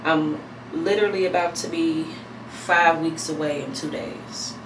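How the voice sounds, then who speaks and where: A pregnant woman in her thirties chatting casually to camera at home, thinking aloud in a relaxed, rambling way. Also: low-pitched, a little slow. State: heavily pregnant. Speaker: vlogger. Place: small bathroom at home, indoors. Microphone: camera mic a few feet away. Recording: echoey room, distant mic, air conditioner hum.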